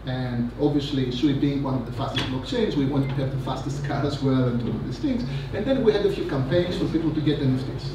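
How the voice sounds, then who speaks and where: A man giving a rambling, energetic conference talk on stage, full of fillers and asides. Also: medium pitch, fairly quick, few pauses. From Greece. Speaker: conference speaker. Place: large conference hall. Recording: echoey hall, mic from a distance, noisy.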